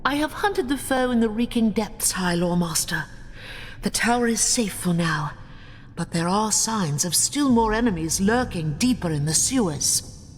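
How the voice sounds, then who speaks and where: A woman's voice: mid-range voice, animated, unhurried, slight background noise, natural voice. A woman playing a proud, solemn elf warrior in a video game, speaking formally and with composure. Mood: grave. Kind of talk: dramatic video game voice acting. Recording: long reverb under clear music.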